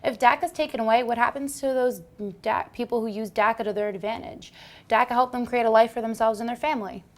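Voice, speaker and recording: A woman's voice: fairly high pitch, steady tone, fast talker. A woman presenting a scripted news report, reading facts and figures from a script.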